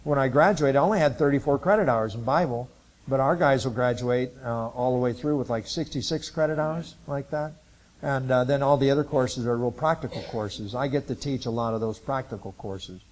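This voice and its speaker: A man in his sixties from Ohio, a Bible college missions teacher, giving an informal testimony talk to a church group in a halting, rambling way with many filler sounds. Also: mid-range voice, a little mellow, poor audio. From Ohio, USA. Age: 60s.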